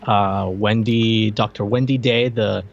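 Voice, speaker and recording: A man co-hosting a podcast, chatting in an animated, incredulous way with laughing asides. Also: on the low side, takes it slowly, creaky. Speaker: podcast co-host. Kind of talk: casual chat. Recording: slightly dull lo-fi mic.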